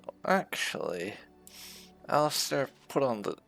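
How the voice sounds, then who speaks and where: A man's voice: mid-range voice, very animated, a little slow, vocal fry, a bit bright, faint music behind. A man giving casual let's play commentary as a gaming host, musing to himself with dry asides.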